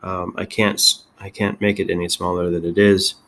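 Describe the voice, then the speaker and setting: A man giving a casual software demonstration as a tech video host, halting and thinking aloud as he goes. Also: low-pitched, little pitch movement.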